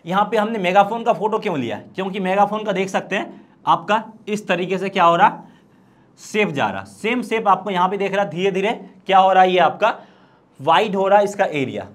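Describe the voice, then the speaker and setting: A man's voice: high voice, a little fast. A man in his thirties with an Indian accent, giving an explanatory trading lesson and answering his own questions as he goes. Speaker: stock trading instructor. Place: indoor studio, presenting at a screen. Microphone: lapel.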